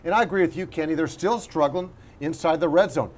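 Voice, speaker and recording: A man's voice: a little high, a little fast. A man co-hosting a sports show, talking conversationally and emphatically, repeating phrases to stress them. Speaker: sports show co-host and analyst. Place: on location at a restaurant.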